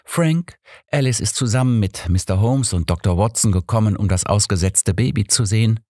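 A man's voice: fairly low pitch, normal speed, warm tone, dry recording, clean studio sound, voice pushed up, close to an everyday voice. A man voicing a friendly, helpful doctor's wife in an audiobook, obliging and explanatory. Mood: informative. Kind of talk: audiobook character dialogue.

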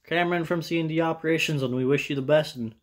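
A man's voice: a little high, fairly even intonation. Young American man rambling through a story. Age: young adult. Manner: loose, profane, halting delivery. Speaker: vlogger telling a personal story. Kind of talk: casual rambling storytelling to camera. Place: small indoor room with bare wall.

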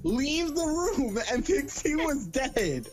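High-voiced, emphatic woman, unhurried. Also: very animated, loud music behind the voice. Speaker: player in a group online game. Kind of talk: casual gaming chat.

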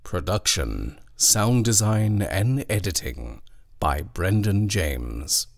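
A man reading a horror poem as a dramatic recitation, in a brooding, deliberate manner. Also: low voice, sing-song, gravelly, dry recording, clean studio sound. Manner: brooding, deliberate, often hushed. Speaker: poetry reader. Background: eerie sound design ambience.

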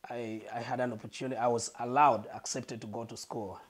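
A Malawian man, a guest on a lapel mic in a living room, earnestly telling his life story and pausing to search for words. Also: mid-range voice, little pitch movement, breathy edge.

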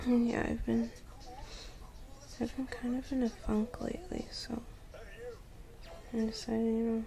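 A sleepy, affectionate girlfriend, acted by a woman in an ASMR roleplay. Her voice is fairly high yet soft and mellow, with a good deal of creak, as she murmurs drowsily and often half-whispers. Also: flat delivery. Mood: drowsy, amused. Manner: drowsy, slow, casual murmuring. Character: sleepy, affectionate young girlfriend cuddling while watching TV.

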